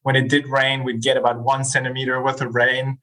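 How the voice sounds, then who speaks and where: A man's voice: medium pitch, fairly quick, rough recording. Chatty man around forty. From Chile. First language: Spanish. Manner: animated, chatty, laughs easily, frequent "like" fillers. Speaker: podcast guest. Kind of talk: casual interview storytelling.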